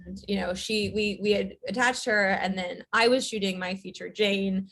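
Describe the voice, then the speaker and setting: A woman's voice: mid-range voice, fairly even intonation, moderate pace, vocal fry, fairly bright tone. A woman who writes and directs, chatting casually as a guest in an interview, telling her story in a rambling way. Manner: rambling, storytelling, frequent filler words.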